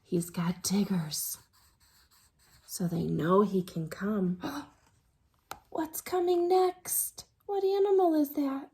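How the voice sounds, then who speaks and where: A woman reading a children's storybook aloud like a teacher at storytime, expressive and engaging young listeners. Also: high-pitched, takes it slowly, long pauses, husky. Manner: expressive, drops to hushed excited asides with audible gasps.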